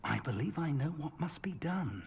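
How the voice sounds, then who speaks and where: Slightly husky, earnest male mentor. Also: a little high, big pitch swings, moderate pace, darkish tone, exaggerated voice. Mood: intrigued, instructive. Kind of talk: video game cutscene acting.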